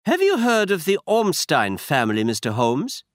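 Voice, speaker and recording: A man's voice: a little high, wildly swooping pitch, moderate pace, no echo, clean studio sound, pitched above the performer's own voice. A man putting on a masked German-accented king. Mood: formal. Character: foreign nobleman, huge masked king, anxious. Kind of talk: audiobook character reading.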